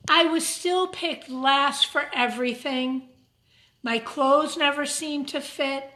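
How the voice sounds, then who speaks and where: Female storytime reader: high voice, unhurried, expressive, addressing young kids, in a roomy, echoing space. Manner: expressive, simple asides to young listeners. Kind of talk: picture-book read-aloud for children with asides. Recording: roomy, echoing room sound.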